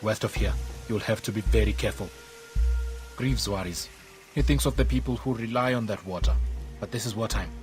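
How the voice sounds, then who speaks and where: An actor playing a stern army major in a video game, speaking gravely and formally. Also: male voice, on the low side, a little fast, pauses often, darkish tone, lightly acted. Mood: grave, resolute. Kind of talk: video game cutscene acting. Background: music.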